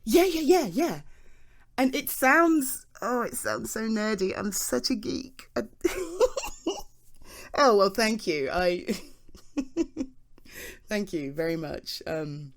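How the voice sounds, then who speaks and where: A woman's voice: on the high side, very animated, drawn-out words, long pauses, exaggerated voice. A British woman playing an enthusiastic, self-deprecating geology nerd in an ASMR roleplay, rambling hesitantly as if chatting to a listener. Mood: bashful, amused. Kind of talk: ASMR voice roleplay, improvised one-sided conversation.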